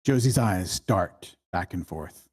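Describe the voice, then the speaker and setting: A man's voice: sits low, expressive, takes it slowly, slightly rough. A man reading out scene directions as narrator at a screenplay table read.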